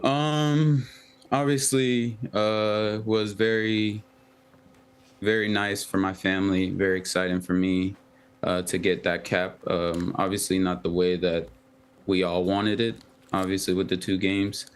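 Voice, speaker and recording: A young man, a professional footballer and a Twi speaker, giving halting, soft-spoken interview answers full of ums. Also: low voice, level tone, smooth. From United States.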